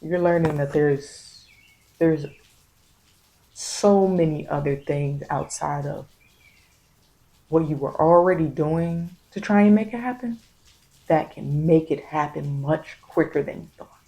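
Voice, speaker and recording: A woman giving a soft ASMR tarot reading, speaking in a halting, repetitive, reassuring way as she offers spiritual guidance. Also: low voice. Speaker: tarot reader.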